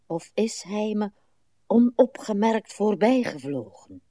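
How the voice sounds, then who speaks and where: An actress playing a weary, morbid, rambling old woman in a radio play, with slow, plaintive delivery. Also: mid-range voice, lively intonation, dark tone, no room sound, studio quality. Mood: reminiscing, rambling. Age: older.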